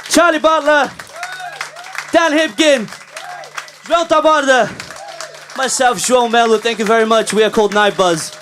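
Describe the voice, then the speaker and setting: Frontman, high voice, distant stage mic, crowd and noise behind. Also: normal speed, long pauses. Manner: thanks the crowd warmly. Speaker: band frontman. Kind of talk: stage announcements. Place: live gig room.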